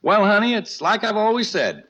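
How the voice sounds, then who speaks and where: An actor playing a calm, good-humored father in a 1950s radio sitcom, relaxed and reassuring. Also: sits high, moderate pace, lo-fi sound, slight background noise.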